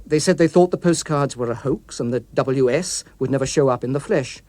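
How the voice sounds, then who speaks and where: A man narrating an audiobook story in a precise, expressive, literary manner, recorded in a studio. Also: mid-range voice, fairly quick, dry recording. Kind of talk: scripted dramatic story narration.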